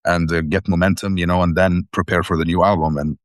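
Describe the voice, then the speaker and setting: A man's voice: deep voice, level tone, fast, no echo, studio quality. A man from Syria, a metal band founder telling his story in a rambling, earnest way as an interview guest. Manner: rambling, earnest, restarts often. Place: living in the Netherlands.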